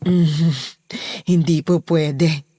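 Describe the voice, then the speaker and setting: A woman's voice: sits low, steady tone, drawn-out words, mellow, dry recording, exaggerated voice. A woman with a Filipino accent playing a protective, bossy daughter running a household in a horror audio drama. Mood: guarded.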